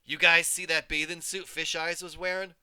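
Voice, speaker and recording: A man voicing a mean girl bully with a deep, growly voice who taunts and sneers. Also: flat delivery, moderate pace, pushed and bright, smooth, dry recording, clean studio sound. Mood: taunting.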